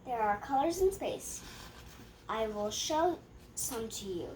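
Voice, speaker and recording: A young girl with a high voice reads a rhyming picture book aloud. She goes slowly and haltingly, stumbling over words and fixing them as she goes. There is some light noise in the home recording. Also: big pitch swings, a little mellow, rough recording. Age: child. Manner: halting read-aloud with stumbles and self-corrections.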